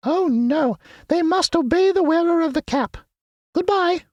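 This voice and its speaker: A man reading a storybook aloud, voicing a tiny, gracious female queen of mice in a squeaky voice. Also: a little slow, no echo, muffled recording. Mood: kindly.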